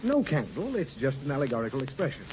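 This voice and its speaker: A man's voice: medium pitch, big pitch swings, fast, a little mellow, close to an everyday voice. A man playing a fussy, easily flustered father reasoning with his small daughter in a 1940s radio comedy. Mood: patient. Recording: muffled, noisy 1940 radio, studio audience.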